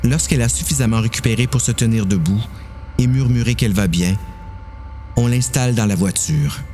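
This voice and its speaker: A man's voice: medium pitch, a little fast, mellow, faint music behind. A man with a Quebec French accent narrating a dramatised horror story.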